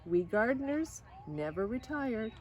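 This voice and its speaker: An older woman voicing an excited, affectionate young girl in a storybook, reading her letter home with earnest expression, recorded outdoors. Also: fairly high pitch, unhurried. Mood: affectionate, eager. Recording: outdoor recording with clear background noise.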